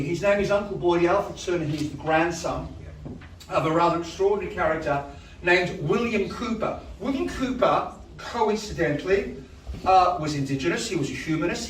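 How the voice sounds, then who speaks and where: A man with a loud voice leading a choir, telling a story to a live audience in a chatty way. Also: high-pitched, a little hiss or hum. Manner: chatty, storytelling, asides to the crowd. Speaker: choir director. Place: large hall. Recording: echoey hall from a distance.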